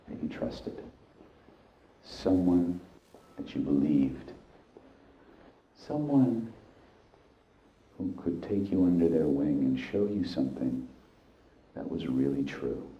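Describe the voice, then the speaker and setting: A man leading a guided hypnosis, speaking deliberately in short, halting phrases with hypnotic repetition. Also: rumbling low. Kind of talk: guided hypnosis, slow meditative narration. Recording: echoey, dull sound with music behind. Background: background music.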